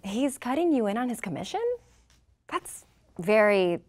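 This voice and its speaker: A woman's voice: mid-range voice, very animated, a little slow, darkish tone. A woman playing a poised, flirtatious businesswoman in a screenplay table read, with a coy, teasing delivery. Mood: teasing, businesslike.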